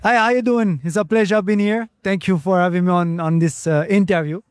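Male interview guest, French accent, high register, very dry sound, courteous conversational delivery. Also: fairly even intonation, fairly quick, no room sound. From France. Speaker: interview guest, reggae singer. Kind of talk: casual interview chat.

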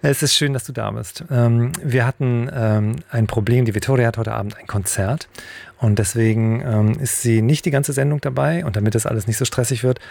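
A man hosting a radio talk show about music theatre in a relaxed, chatty way with a few fillers. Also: mid-range voice, brisk, gravelly, dry recording. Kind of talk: casual radio interview. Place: radio studio.

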